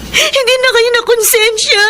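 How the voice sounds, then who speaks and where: An actress playing an earnest, aggrieved adult daughter, emotional and pleading, with a Filipino accent in radio drama style. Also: female voice, extremely high pitch, fairly even intonation, normal speed, sharp tone, a little hiss or hum. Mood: tearful, anguished. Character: loving, aggrieved adult daughter standing up to mother.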